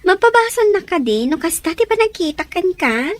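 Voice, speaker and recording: A woman's voice: very high voice, sing-song, moderate pace. An actress playing a playful, nosy young woman with a teasing, sing-song manner in an Ilocano radio drama.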